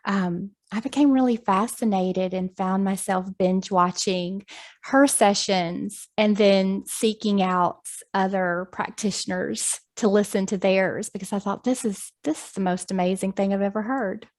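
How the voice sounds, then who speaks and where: A friendly woman tells her story over a video call. She talks at a middle pitch in a chatty way, pausing with ums, and laughs easily. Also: fairly even intonation. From United States. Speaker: guest, hypnosis practitioner.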